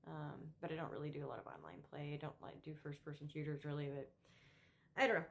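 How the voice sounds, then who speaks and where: Low-voiced, emphatic woman vlogger. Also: fairly even intonation, vocal fry. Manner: emphatic, opinionated, halting with repeated words. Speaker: political commentary vlogger. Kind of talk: unscripted opinion monologue to camera.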